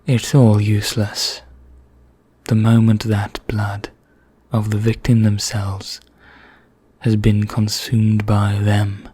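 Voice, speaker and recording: A man playing a vain, condescending vampire in an ASMR roleplay, speaking formally with measured pauses in a husky, breathy voice that nears a whisper. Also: lowish voice, completely flat delivery, dark tone. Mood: ominous, explanatory. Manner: slow, measured, formal, pompous, with deliberate pauses. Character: vain, condescending male vampire elder, calmly sinister.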